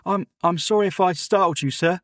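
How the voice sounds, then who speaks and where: A man's voice: sits high, fairly quick, fairly bright tone, dry recording, clean studio sound, voice pushed up. A man voicing an eager, deferential young servant boy in a Victorian tale. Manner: earnest, deferential, keen.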